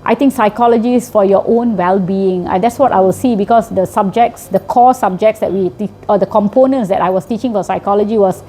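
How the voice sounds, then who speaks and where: Malaysian woman teacher, mellow voice. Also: mid-range voice, a little fast, hardly any pauses, a little hiss or hum. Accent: Malaysian English. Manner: explanatory, often ends with a tag question. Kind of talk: interview answers.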